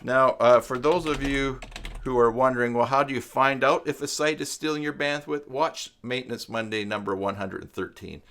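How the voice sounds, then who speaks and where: A man hosting an informal live stream tutorial, explaining things step by step in a halting way as he thinks aloud. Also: mid-range voice, fairly bright tone. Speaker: live stream host and web tutorial presenter. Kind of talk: informal unscripted tutorial talk to viewers.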